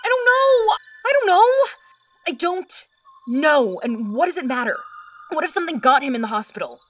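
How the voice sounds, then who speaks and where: An actress playing a sarcastic, deadpan teenage student in an audio drama. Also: female voice, high voice, moderate pace, faint music behind. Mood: panicked. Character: student Janet, dry and sarcastic teenage girl.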